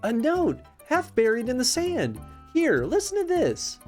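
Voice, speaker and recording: A woman's voice: high-pitched, wildly swooping pitch, measured pace, a little mellow, soft background music, pitched above the performer's own voice, heavily acted. A woman voicing an eager, earnest young female monkey in a storybook. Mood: excited discovery.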